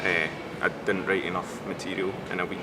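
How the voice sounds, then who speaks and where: A young man from Scotland, a student and stand-up comedian, chatting casually and in a low-key way in an interview. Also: flat delivery, brisk. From Scotland (Glasgow). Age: young adult. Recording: street noise outdoors.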